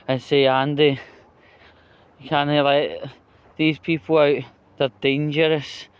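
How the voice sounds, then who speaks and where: A Scottish man with a slightly high, clean and smooth voice talks through his political opinions in a loose vlog style. He takes his time, rambling in a conversational way. His manner is blunt and sweary, and he often tacks a short question onto his points. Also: no echo, lo-fi sound. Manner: conversational with frequent tag questions. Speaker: vlogger.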